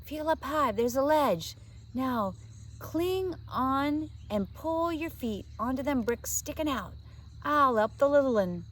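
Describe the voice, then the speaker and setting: A woman voicing a cheeky, bossy working-class boy in a storybook, talking in hushed slangy dialect. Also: high-pitched, lively intonation, slow pace, pitched above the performer's own voice, exaggerated voice. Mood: urgent, bossy. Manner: whispering, slangy street dialect.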